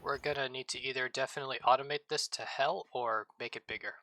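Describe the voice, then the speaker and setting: Bright-voiced joking man chatting over a game. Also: medium pitch, steady tone, fast talker. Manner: joking, rambling, playfully absurd. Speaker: streamer co-host. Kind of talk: casual gaming chat.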